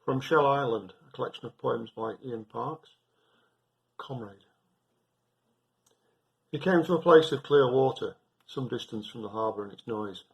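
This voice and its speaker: A man reading a poem aloud with measured, deliberate phrasing. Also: medium pitch, gravelly. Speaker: poetry reader.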